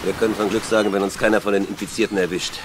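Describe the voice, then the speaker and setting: An actor playing a gruff, pragmatic survivor in a radio drama, dry and blunt. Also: male voice, lowish voice, flat delivery, rapid-fire, natural voice. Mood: grim. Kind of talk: German radio drama acting. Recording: noisy outdoor ambience.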